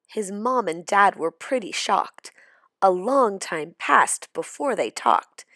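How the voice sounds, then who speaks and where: Casting: woman storybook reader, expressive, slow-paced, close dry sound. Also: mid-range voice, dry recording. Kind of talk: scripted children's story narration.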